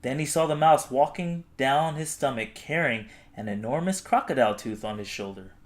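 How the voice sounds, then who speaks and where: A man narrating a children's storybook expressively, with dramatic, deliberate phrasing. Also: mid-range voice, lively intonation. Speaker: storybook reader.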